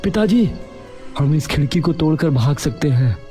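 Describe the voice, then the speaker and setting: A scheming young man, acted out by a male narrator in an animated village tale. His voice is raised and mellow, hurried with nerves, over music. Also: a little high, pitched above the performer's own voice, lightly acted. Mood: anxious, urgent. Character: anxious scheming young son of village chief. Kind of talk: Hindi animated story dialogue.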